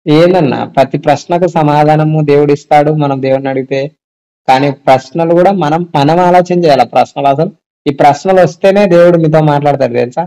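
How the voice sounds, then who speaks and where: Male, fairly high and very mellow, casual explanatory phone talk with rhetorical questions. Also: fairly quick, low-quality recording. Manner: explanatory, asks rhetorical check-back questions. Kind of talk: casual phone discussion of faith. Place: recorded phone call. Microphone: telephone.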